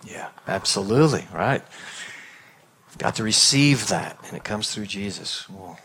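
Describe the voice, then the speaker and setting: A man's voice: fairly low pitch, lively intonation, measured pace, a bit bright, breathy edge. A man leading a church discussion as pastor, affirming each answer and repeating key words. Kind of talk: interactive sermon.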